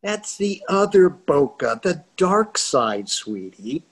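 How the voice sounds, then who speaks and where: An older actor playing a divorced, teasing friend who makes wisecracks, on a video call. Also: male voice, on the high side, very animated, takes it slowly, breathy edge, heavily acted.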